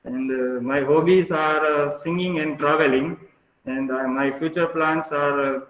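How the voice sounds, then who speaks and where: A twenty-year-old man with an Indian accent, a student introducing himself in a halting, hesitant way. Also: a little high, fairly even intonation, a bit bright. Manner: halting, hesitant, restarts phrases. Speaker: engineering student. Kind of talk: spoken self-introduction. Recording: tinny phone-like audio, echoey room.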